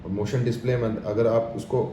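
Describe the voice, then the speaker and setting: A man's voice: mid-range voice, flat delivery, a little fast, dark tone. An Indian man giving an informal step-by-step product tutorial in an explanatory, repetitive way, mixing English terms into his speech. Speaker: product demonstrator. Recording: echoey room with clear background noise.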